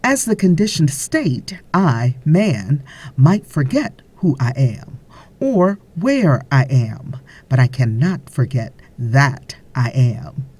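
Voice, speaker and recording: A woman reading a spiritual audiobook as scripted narration, in a measured, deliberate way with frequent pauses. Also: very deep voice, sing-song, a little mellow, slightly rough.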